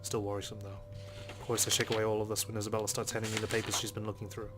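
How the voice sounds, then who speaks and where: A low-voiced man reads visual novel narration aloud for a let's play. He moves along quickly with little rise or fall in his tone, while game music plays behind him. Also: monotone.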